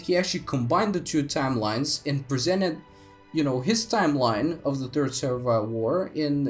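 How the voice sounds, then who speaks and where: A young man, a native Bulgarian speaker, talking through history on his solo podcast, thoughtful with many fillers and restarts. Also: on the high side. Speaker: podcast host.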